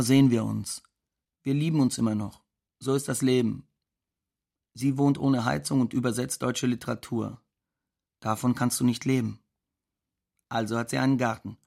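A man's voice: mid-range voice, vocal fry. An actor playing a resigned, plain-spoken man parted from the woman he loves, in a conversational audio drama monologue of short simple sentences.

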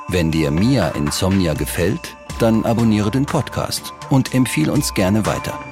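A man calmly reading the closing credits of a radio series. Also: lowish voice.

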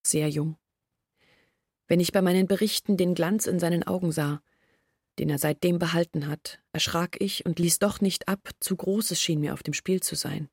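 An actress playing an earnest woman who confesses her family's failures in a reflective, measured monologue from a literary radio play. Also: lowish voice, a little mellow, husky, dry recording, studio quality.

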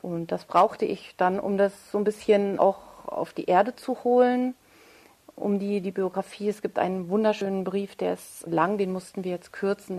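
A woman's voice: medium pitch, darkish tone. A woman speaking as an interview guest and author, explaining her work in a thoughtful, articulate and reflective way.

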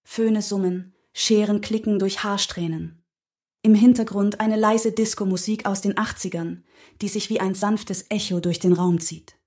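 Female script reader, medium pitch, mellow and relaxed, setting scenes for an audio drama. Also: a little slow. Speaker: podcast host. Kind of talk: reading scene directions aloud.

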